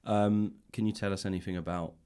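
A man's voice: low voice, steady tone, a little fast, dark tone, clear, resonant tone. A man hosting a casual interview, feeling his way through questions in tentative, rambling phrases. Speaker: podcast host, interviewer. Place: indoors, upper floor of a public library.

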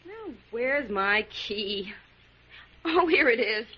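A dull vintage radio recording carries an anxious, stubborn young heroine. Her voice is fairly high, earnest and insistent. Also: lively intonation, takes it slowly, slightly rough, heavily acted. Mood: friendly, uneasy. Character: anxious, stubborn young woman standing up for herself. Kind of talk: 1940s radio drama acting. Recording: muffled 1940s radio recording.

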